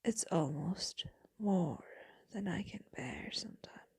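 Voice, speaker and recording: A woman playing a tender, melancholy vampire lover in an intimate ASMR roleplay, speaking in a hushed, breathy, doting way. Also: fairly low pitch, full character voice. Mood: aching, wistful. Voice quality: breathy, whispery. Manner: slow, hushed, doting, wistful.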